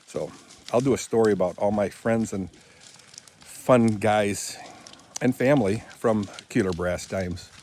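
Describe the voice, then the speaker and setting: A man's voice: lowish voice, a little slow, darkish tone, a little hiss or hum. A man in his seventies chatting in a rambling, casual vlog while working outdoors on rural land, often correcting himself as he goes, recorded on a handheld phone camera. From Michigan, USA. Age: 70s. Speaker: vlogger.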